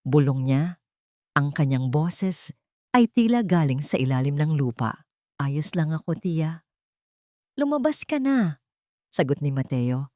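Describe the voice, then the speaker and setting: A woman with a fairly low voice narrates a dramatic story with tension in her reading. The recording is close and dry, with no room sound. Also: a little mellow, dry recording. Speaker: audiobook narrator.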